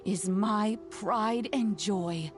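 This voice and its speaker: A kindly, unhurried old granny. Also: female voice, mid-range voice, over music. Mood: proud, affectionate. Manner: fond, reassuring, unhurried. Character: kindly, proud, doting elderly adoptive grandmother. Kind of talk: video game dialogue acting.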